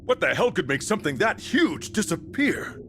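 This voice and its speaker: High-strung, swooping male commander. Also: big pitch swings, normal speed, background noise. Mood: urgent, commanding. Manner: urgent, authoritative, barking orders. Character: hot-tempered, commanding male military chief. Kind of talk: video game cutscene acting.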